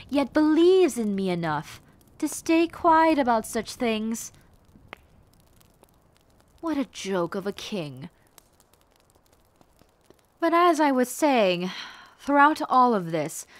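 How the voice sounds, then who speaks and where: A woman playing a bitter, haughty, scheming rebel warlord princess in a scripted roleplay, speaking formally and deliberately with theatrical delivery. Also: medium pitch, no room sound. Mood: bitter, scornful. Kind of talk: scripted roleplay monologue to the listener.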